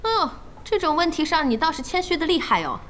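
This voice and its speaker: Casting: playful young woman boss, high animated voice, inquisitive, radio drama. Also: quick pace, noisy background. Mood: teasing. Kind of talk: Chinese radio drama acting. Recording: studio voice over faint music.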